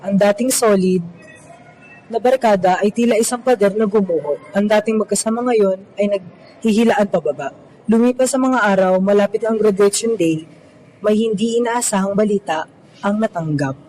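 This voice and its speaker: A man's voice: high voice, normal speed, a little mellow, a little hiss or hum, poor audio. A man reading scripted storytelling narration for an amateur student radio drama.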